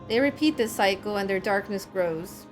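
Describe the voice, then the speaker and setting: A woman voicing a brooding, disillusioned young man from a game, in grave, measured, formal phrasing. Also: medium pitch, animated, music in the background, close to an everyday voice. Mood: grave, bitter. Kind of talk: reading game cutscene dialogue aloud.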